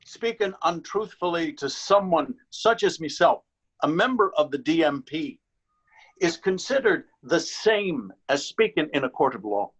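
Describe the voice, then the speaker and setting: A man playing an officious, suspicious Dublin policeman in readers theater, questioning self-importantly and deliberately. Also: a little high, sing-song, fairly bright tone, breathy edge, exaggerated voice, rough recording. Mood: pompous, probing. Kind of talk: readers theater stage acting.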